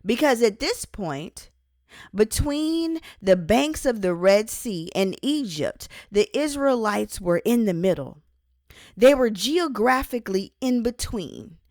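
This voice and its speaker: Slow, deliberate woman reading inspirational teaching. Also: fairly high pitch, very animated, a little mellow, dry recording, clean studio sound. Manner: deliberate, emphatic phrasing with pauses. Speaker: author and host reading own book. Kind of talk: scripted inspirational audiobook-style reading with Bible teaching.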